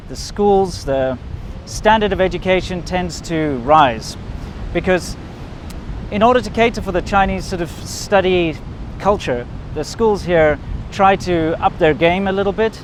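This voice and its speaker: A man hosting a casual vlog, talking to the camera outdoors. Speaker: video host. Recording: outdoor rumble and wind noise.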